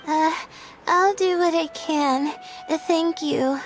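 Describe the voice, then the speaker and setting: A woman's voice: extremely high pitch, steady tone, takes it slowly, faint music behind. A tired young female student from a video game. She sounds timid and drowsy, hesitating as she goes, with an unassuming manner.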